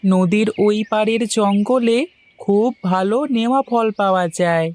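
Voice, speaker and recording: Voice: medium pitch, fairly even intonation, unhurried, darkish tone, close to an everyday voice. A voice actor doing chatty young villager Poltu. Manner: chatty, good-natured. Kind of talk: cartoon voice acting.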